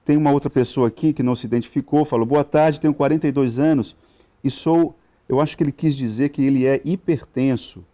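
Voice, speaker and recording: Hesitant male TV host, mellow voice. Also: mid-range voice, a little fast. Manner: hesitant, many fillers and restarts. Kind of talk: live TV interview. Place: TV studio.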